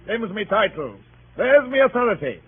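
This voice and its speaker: Gruff officious lawman, husky, pompous, 1930s radio drama, tinny narrow-band recording. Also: high voice, very animated, moderate pace, heavily acted. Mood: officious, blunt. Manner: pompous, legalistic, blunt. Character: officious, gruff male law officer serving a writ.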